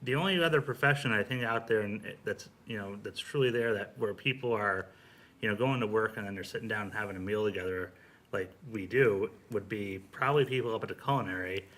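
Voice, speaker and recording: A man's voice: on the low side, a little fast, a bit bright. A man, a firefighter, making his points in casual round-table conversation.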